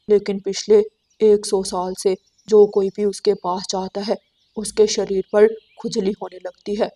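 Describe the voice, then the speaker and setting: A woman's voice: mid-range voice, dark tone, close to an everyday voice. A woman voicing a grave, superstitious forest bird in a cartoon, explaining things solemnly.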